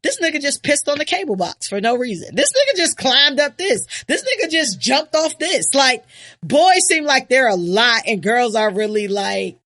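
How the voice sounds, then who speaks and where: A young woman hosting a podcast, chatting casually in an animated, laughing, profane way. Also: sits high, normal speed. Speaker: podcast host and producer. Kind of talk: casual chat.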